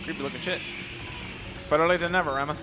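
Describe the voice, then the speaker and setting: A man's voice: on the high side, steady tone, fast, over music. A young man giving casual, joking, sweary commentary as he plays through a video game. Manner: sweary, wisecracking. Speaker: let's play commentator. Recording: faint noise, game audio behind. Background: video game sound.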